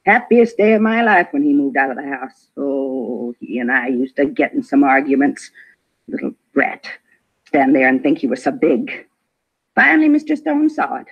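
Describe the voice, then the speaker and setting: An actress playing a prickly, self-important neighborhood gossip in a 1950s radio drama, huffy and argumentative in clipped, emphatic phrases. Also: female voice, rumbling low, lively intonation, moderate pace, slightly rough, exaggerated voice. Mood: spiteful. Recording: dull vintage radio recording.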